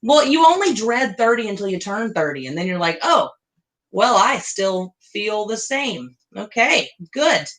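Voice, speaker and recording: A woman's voice: medium pitch, very animated, unhurried, a bit bright. Chatty woman in her thirties. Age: 30s. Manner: chatty, self-deprecating, rambling with fillers. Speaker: podcast co-host. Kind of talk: casual live Q&A chat.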